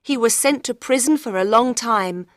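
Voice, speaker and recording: Lady playing a worried young gentlewoman in an audiobook, highish voice, earnest n clear, dry studio sound. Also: normal speed, no echo, close to an everyday voice. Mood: troubled, explaining. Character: frightened, desperate young gentlewoman of about thirty. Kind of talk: dramatised audiobook reading.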